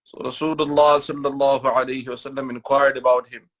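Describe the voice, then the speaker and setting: Gravelly-voiced man giving a mosque lecture. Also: medium pitch, level tone, fairly bright tone, low-quality recording. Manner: measured, repetitive phrasing, recites Quranic Arabic between English explanations. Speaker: imam giving a Ramadan lecture. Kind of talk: sermon-style religious lecture.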